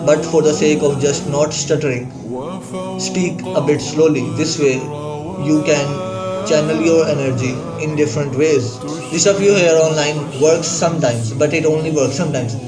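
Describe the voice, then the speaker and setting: A man's voice: fairly high pitch, fairly even intonation, moderate pace. Earnest young man, distant mic. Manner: earnest, repeats "bro" and "like", small restarts. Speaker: student giving self-help advice. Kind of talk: casual advice talk to camera. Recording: distant mic with clear background music. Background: nasheed vocals.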